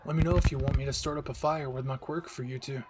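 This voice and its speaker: A man's voice: mid-range voice, fairly even intonation, quick pace, very noisy, lightly acted. A man voicing a brash, cocky young superhero boyfriend who teases affectionately in an intimate romantic audio roleplay. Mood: tender, protective. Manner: teasing, affectionate, swears casually.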